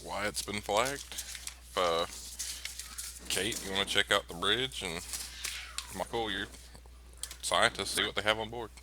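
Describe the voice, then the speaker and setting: A man's voice: lowish voice, measured pace, pauses often, noisy background. A man playing in a tabletop game who takes charge and hands out tasks to the others. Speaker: player. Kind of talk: casual tabletop play.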